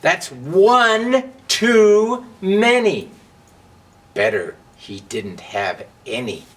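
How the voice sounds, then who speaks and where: A man voicing a nosy, disapproving talking match from a storybook, with stern, drawn-out scolding. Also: sits high, distant mic, pitched above the performer's own voice, full character voice. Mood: stern, suspicious. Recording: clear steady hum of background noise.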